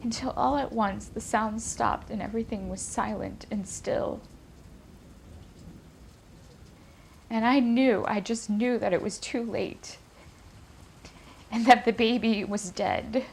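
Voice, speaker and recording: A woman's voice: a little high, fairly even intonation, measured pace, vocal fry, slight background noise. A woman playing an anxious, guilt-ridden daughter who recalls family secrets in a hesitant, intimate dramatic monologue. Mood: distressed, haunted.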